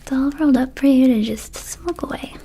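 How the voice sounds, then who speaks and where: A woman voicing a small, laid-back, slightly shady vampire dispensary keeper in an ASMR roleplay, whispering softly in a rambling, casual sales patter. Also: high-pitched, fairly quick. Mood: laid-back, reassuring. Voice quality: soft, breathy whisper.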